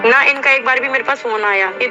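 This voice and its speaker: A woman's voice: sits high, a little fast. A young woman on a private phone call, talking insistently and with a sense of grievance, often cutting in on the other person. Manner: insistent, aggrieved, talks over the other. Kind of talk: heated private phone conversation. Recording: muffled recorded phone call, music behind.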